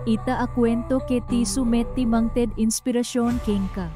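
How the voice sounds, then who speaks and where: A woman's voice: on the high side, steady tone, unhurried. A woman announcer with an Ilocano accent delivering a scripted radio drama introduction. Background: music.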